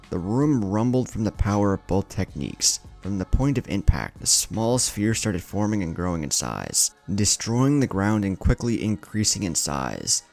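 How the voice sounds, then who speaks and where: A man's voice: on the low side, vocal fry. A man narrating an anime fan story in a dramatic scripted style.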